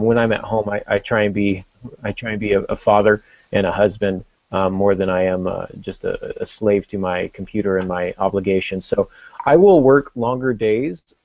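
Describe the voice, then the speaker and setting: A middle-aged man hosting a podcast, talking casually in a halting, reflective way and correcting himself as he goes. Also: on the low side, a little mellow, highs cut off. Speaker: podcast host.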